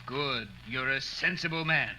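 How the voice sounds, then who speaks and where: An actor playing a cold, calculating killer holding a hostage in a 1940s radio crime drama, threatening in a smooth-talking way. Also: male voice, medium pitch, unhurried, pushed and bright, rough recording. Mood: smug, calculating. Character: menacing, calculating male murderer taking a hostage at knifepoint. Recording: old radio recording, faint noise.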